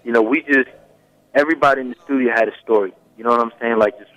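A man's voice: medium pitch, fast, a bit bright, no echo, low-quality recording. A young man whose first language is Swedish, talking casually as a singer guest in a radio interview, full of "you know" fillers. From Sweden. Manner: laid-back, many fillers like "you know".

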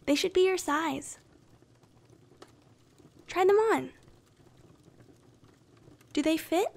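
Woman acting a high, breathy, bashful girlfriend. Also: exaggerated voice. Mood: sweet, gentle. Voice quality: soft, breathy, often whispered. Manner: slow, gentle, intimate, coy. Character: shy, affectionate young anime-style girlfriend, clingy and bashful. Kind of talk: soothing ASMR roleplay.

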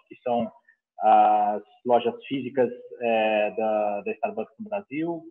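A middle-aged Brazilian man answering conversationally as a panel guest, halting and repeating words, on a headset video call. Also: mid-range voice. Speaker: business executive, panel guest. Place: indoor room. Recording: tinny, narrow video-call line.

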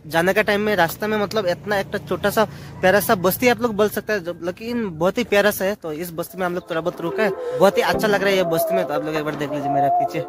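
A young man in his twenties vlogging on a phone on a selfie stick, chatting excitedly to viewers on windy hilltops and a swaying bridge. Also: sits high, fast. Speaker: travel vlogger. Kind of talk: casual travel vlog narration. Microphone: phone camera on a selfie stick. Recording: clear wind noise outdoors.

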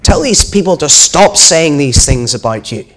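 A man's voice: fairly high pitch, animated, normal speed, fairly bright tone, voice pushed up. A man voicing angry, complaining religious leaders in a children's storybook reading. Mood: grumbling. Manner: indignant, complaining.

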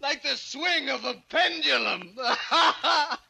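A man's voice: very high-pitched, vocal fry, sharp tone, heavily acted, rough recording. An actor playing a bitter, tormented giant of a man in a theatrical 1940s radio drama style. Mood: bitter hysteria. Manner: theatrical, anguished, dramatic drawn-out delivery. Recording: 1940s radio broadcast recording.

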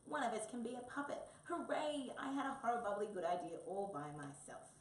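A woman's voice: mid-range voice, sing-song, a little fast, pitched above the performer's own voice. A woman voicing a boisterous, muddle-headed male wombat in a children's storybook, with a blunt, eager, childlike manner. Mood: gleeful. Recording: roomy, distant mic, faint noise.

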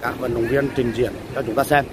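A man casually commentating on a beach race for viewers, talking haltingly with many drawn-out fillers, outdoors by the sea. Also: medium pitch, level tone. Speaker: vlogger filming a beach race. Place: outdoors on a windy beach by the surf. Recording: loud surf and wind noise.

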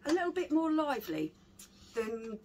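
A woman's voice: high-pitched, big pitch swings, normal speed, darkish tone. Woman in casual chat, noisy background. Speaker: beer reviewer. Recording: clearly audible background noise.

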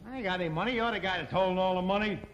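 An actor playing an easygoing, wisecracking sidekick in a 1950s sitcom. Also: male voice, high voice, very fast, fairly bright tone, muffled recording, a little hiss or hum. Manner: laid-back wisecracking. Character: easygoing, goofy sidekick neighbor.

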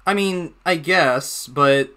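A man's voice: a little high, slow, pushed and bright, clean tone. A young man hosting a casual reaction video from a small room, rambling and slipping in jokey asides. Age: young adult.

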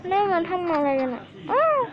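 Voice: very high-pitched, very animated, a little fast, dull audio, a crowd in the background. A young-sounding video host giving playful Minecraft play-along commentary, chattering and humming to themselves in a sing-song way. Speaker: gaming channel host.

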